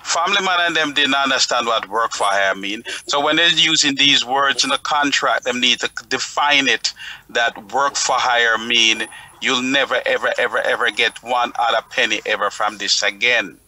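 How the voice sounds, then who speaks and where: A man's voice: medium pitch, normal speed, sharp tone, low-quality recording. A man on a talk panel explaining his opinions emphatically, repeating words to drive points home.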